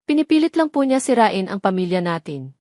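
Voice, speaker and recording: A woman's voice: mid-range voice, very animated, a little fast, warm tone, no echo, clean studio sound, close to an everyday voice. A woman voicing a young cheating husband in an audio drama, agitated and defensive. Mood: pleading.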